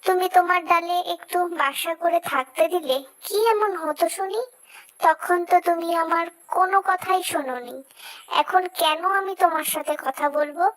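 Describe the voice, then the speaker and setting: A woman's voice: extremely high pitch, moderate pace, a bit bright. A woman voicing a small, earnest talking bird in a cartoon fable, with a plaintive delivery. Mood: indignant. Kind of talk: scripted cartoon story acting.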